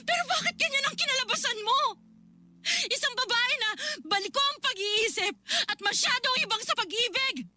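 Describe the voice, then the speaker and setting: An exasperated older sister in a Filipino radio drama. Her voice is very high, emotional and insistent as she scolds. Music plays clearly behind her. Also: expressive, normal speed. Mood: exasperated, upset.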